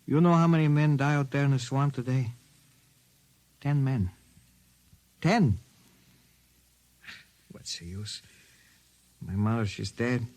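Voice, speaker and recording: An actor playing a weary, despairing swamp laborer in old-time radio drama, speaking in broken phrases. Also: male voice, medium pitch, slow pace, pauses often, darkish tone, lightly acted. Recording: vintage radio recording.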